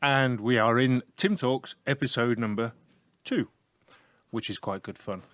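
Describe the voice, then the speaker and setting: A middle-aged man with a broad Yorkshire accent talks in an easygoing, joking way, like a teacher spinning yarns. His voice sits at an everyday pitch. The sound is close and dry, recorded in a small room. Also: a little slow, a bit bright, dry recording. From north of England. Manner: chatty, humorous storyteller. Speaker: IT teacher and show host. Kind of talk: casual radio chat.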